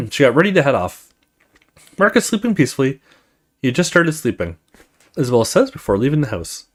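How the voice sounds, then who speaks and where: A man hosts a let's play, reading a horror story game aloud. His pitch moves around a lot as he tells the story. He mixes scripted narration with casual remarks of his own. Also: sing-song, a little fast.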